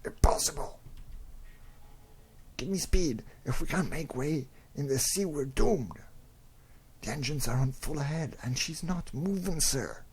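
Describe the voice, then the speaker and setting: A man voicing excitable nineteenth-century sailors in a dramatic comic-book reading. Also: on the high side, unhurried, pauses often, slightly rough, voice pushed up, exaggerated voice. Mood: alarmed. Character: excitable 19th-century sailor crew, boastful and alarmed. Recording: noticeable background noise.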